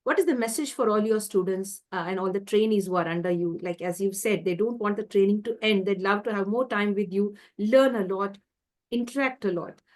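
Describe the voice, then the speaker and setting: A woman's voice: medium pitch, fairly quick. A young woman hosting a video call interview, asking polite, encouraging questions. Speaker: interview host.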